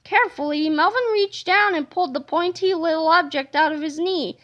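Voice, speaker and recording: A woman reading a children's book aloud with an expressive storytelling delivery. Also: extremely high pitch, fairly quick, muffled recording. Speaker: reader.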